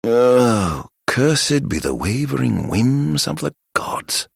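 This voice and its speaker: A man voicing a mocking, silver-tongued video game villain, with a raspy, drawling, flamboyant delivery and a show of courtesy over cruelty. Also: mid-range voice, big pitch swings, takes it slowly, no echo, studio quality, full character voice. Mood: grave, theatrical. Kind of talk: theatrical video game voice acting.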